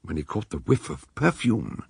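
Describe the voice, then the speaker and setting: Well-spoken British doctor, radio drama, very husky, lively intonation, courteous amateur sleuth and storyteller. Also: male voice, low-pitched, moderate pace, dry recording, clean studio sound, exaggerated voice. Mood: measured storytelling. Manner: courteous, formal, expressive. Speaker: narrator and supporting character. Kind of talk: radio drama acting and scripted narration.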